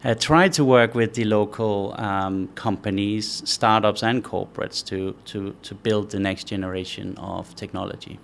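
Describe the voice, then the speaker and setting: A man from Denmark, a company founder answering interview questions in a measured way, thinking aloud with frequent restarts. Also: lowish voice, fairly even intonation, vocal fry, slight background noise.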